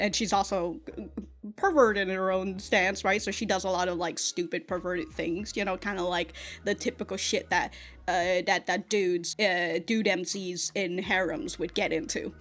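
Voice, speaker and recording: A woman chatting casually and unscripted as an online reviewer, rambling and circling back over her phrases, with some casual swearing. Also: mid-range voice. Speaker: YouTube anime and manga reviewer.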